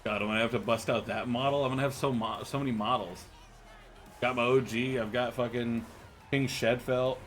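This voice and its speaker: An American man talks casually to his viewers as he plays, his pitch sitting right in the middle. He rambles and jokes, tripping over and repeating his words. Faint game music plays quietly behind him. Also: fairly even intonation, moderate pace, long pauses. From United States. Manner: rambling, joking, frequent stammered restarts and laughs. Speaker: streamer. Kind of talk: casual chat with viewers while gaming.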